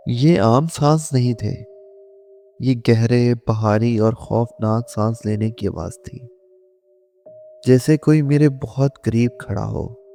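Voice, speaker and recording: A man's voice: mid-range voice, mellow. A man narrating a scripted scary story in the first person, in a suspenseful, dramatic storytelling manner. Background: faint music.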